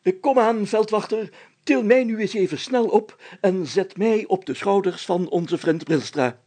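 A man's voice: high-pitched, a little slow, a little mellow. A man voicing a pompous, self-satisfied, flustered mayor in a story, stammering and speaking in grand, bossy phrases. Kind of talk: dramatised story reading.

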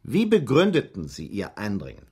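A sceptical investigator in a radio drama, formal and methodical. His husky voice moves expressively up and down as he probes with persistent, clipped questions. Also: on the low side, huge pitch swings, normal speed, mellow, heavily acted. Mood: probing. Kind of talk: German radio drama acting.

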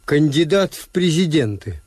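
Актёр играет усталого ироничного хозяина гостиницы, который сдержанно и сухо ведёт рассказ в советской радиопостановке. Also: male voice, a little high, big pitch swings, moderate pace, a little mellow, breathy edge. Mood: dry, defiant. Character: weary, ironic male hotel owner recounting events. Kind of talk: Soviet radio drama narration and dialogue.

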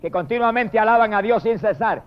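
High-voiced man preaching with fervor. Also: expressive, a little fast, dull audio. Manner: impassioned, repetitive exclamations of praise. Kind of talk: fervent sermon.

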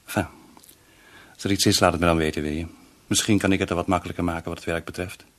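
A man's voice: sits low, fairly even intonation, fast, a bit bright. A male actor in a radio play playing a worried, tactful employer who speaks hesitantly and apologetically. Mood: concerned, tactful.

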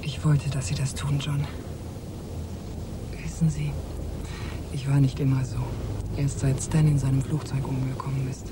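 An actress playing a bold, mysterious married woman in a noir radio drama, with a low, smoky, intimate voice. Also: level tone, moderate pace, long pauses. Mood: flirtatious. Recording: clear background rumble.

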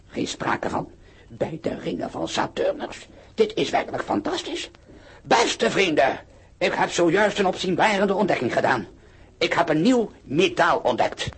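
An actor playing a vain, irascible older observatory director in a Dutch radio play, grandiose and declamatory, with a husky voice. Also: male voice, a little high, sing-song, unhurried, fairly bright tone, a little hiss or hum, exaggerated voice. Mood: astonished, triumphant. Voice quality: husky, breathy. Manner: grandiose, declamatory, abrupt outbursts.

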